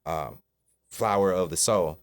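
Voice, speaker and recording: A man's voice: low voice, measured pace, clean tone. A man in his forties from Long Island, a cannabis grower talking casually as a podcast guest. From Shinnecock, Long Island. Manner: laid-back, easy laughs, says "you know what I'm saying".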